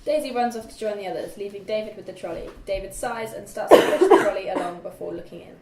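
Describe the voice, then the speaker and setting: A young woman narrates scene directions from a script in a mid-pitched voice. She sits away from the mic in an echoey room with faint noise. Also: expressive, quick pace, distant mic. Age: young adult. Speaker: stage-direction reader.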